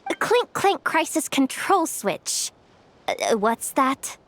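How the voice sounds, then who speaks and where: A woman's voice: high-pitched, sing-song, slow pace, a bit bright, full character voice. An actress playing a brash, scheming young female expo manager in a video game, with a slangy, dramatic way of talking. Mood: curious. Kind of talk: animated video game voice acting. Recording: music under the voice.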